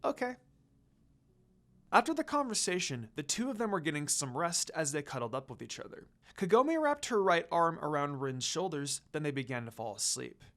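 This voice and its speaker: Woman narrator with very lively pitch. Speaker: fan fiction reader. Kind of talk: expressive storytelling narration.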